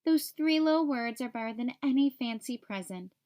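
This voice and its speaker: Picture-book turtle mother played by a woman: high voice, loving, tender, appreciative. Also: expressive, normal speed, darkish tone.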